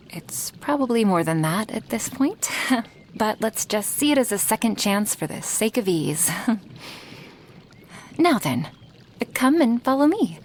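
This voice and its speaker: A woman playing a gentle, apologetic female dragon in a soft-spoken ASMR roleplay, breathy and formal in her careful phrasing. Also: medium pitch, slight background noise. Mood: relieved, warm. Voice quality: breathy, half-whispered.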